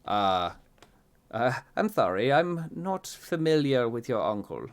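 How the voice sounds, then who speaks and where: A performer voicing a kindly, excitable fancy gentleman who stammers and gushes. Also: mid-range voice, slow. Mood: flustered. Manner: stammering, effusive.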